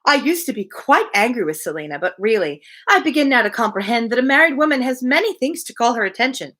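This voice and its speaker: A woman's voice: on the high side, big pitch swings, fast talker, fairly bright tone. A woman reading a novel aloud as a gushing, self-important, overfamiliar young married lady who chatters effusively. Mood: affectedly dramatic.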